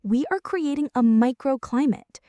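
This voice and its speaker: A woman's voice: sits high, lively intonation, normal speed, mellow, dry recording. A woman playing a flirty, playfully bossy friend in an intimate, scripted ASMR roleplay, speaking straight to the listener with mock-dramatic exaggeration. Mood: playfully insistent.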